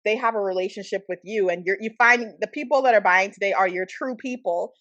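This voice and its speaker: Animated woman host from the Bahamas. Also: medium pitch, a little fast, no echo, highs cut off. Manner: animated, affirming with frequent laughs and mm-hmms. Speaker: podcast host. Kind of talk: casual podcast interview.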